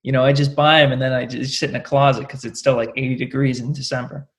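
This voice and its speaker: A young man with a Californian accent talks in a casual interview. His voice sits at a middle pitch, and he rambles along with plenty of "like" and "you know". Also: level tone, fast talker. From California, United States. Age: late 20s. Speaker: interview guest, rock singer.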